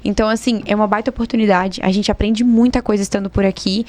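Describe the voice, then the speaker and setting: A woman's voice: mid-range voice, fairly even intonation, fast, darkish tone. A young woman with a Brazilian accent hosting a casual podcast interview, chatty and encouraging, often chiming in. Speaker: podcast host.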